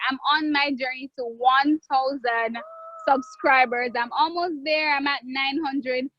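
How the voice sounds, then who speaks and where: A Jamaican woman chef answering viewer questions in a live online cooking class, chatty with drawn-out phrases and restarts. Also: high-pitched, a bit bright, no echo, muffled recording. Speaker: guest chef.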